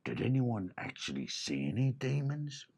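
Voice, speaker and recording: A man voicing a sly, bossy bandit leader who talks in a rough, hushed way in a husky storybook voice. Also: mid-range voice, big pitch swings, normal speed, heavily acted. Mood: scheming. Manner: hushed, rough, says "oi, boys". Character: gravelly cockney bandit boss, bossy and sly.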